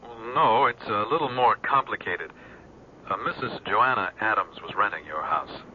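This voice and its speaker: An actor playing a measured, polite police detective in a 1970s radio drama. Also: male voice, fairly low pitch, lively intonation, close to an everyday voice. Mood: polite, cautious. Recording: muffled, faintly noisy old radio broadcast.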